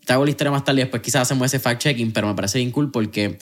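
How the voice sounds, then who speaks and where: A man hosting a podcast, talking in a relaxed, conversational and reflective way. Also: medium pitch, racing. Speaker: podcast host. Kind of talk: casual interview chat.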